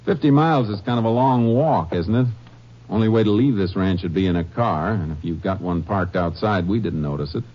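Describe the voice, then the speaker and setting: An actor playing a steady Texas Ranger in a 1950s radio drama, calm, firm and matter-of-fact, also narrating. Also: male voice, low-pitched, fairly quick. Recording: muffled vintage radio with faint hiss.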